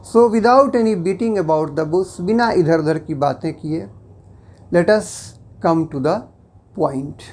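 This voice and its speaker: A native Hindi speaker teaching English in a slow, deliberate way, explaining phrase by phrase and at times switching into Hindi. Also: mid-range voice, darkish tone. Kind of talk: explanatory lesson.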